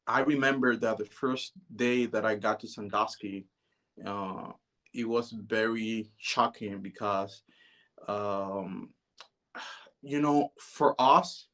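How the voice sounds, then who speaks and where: A man, telling his life story as an interview guest in a relaxed, hesitant way. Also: fairly low pitch. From Dominican Republic. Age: 30s. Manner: relaxed, hesitant, many "you know"s.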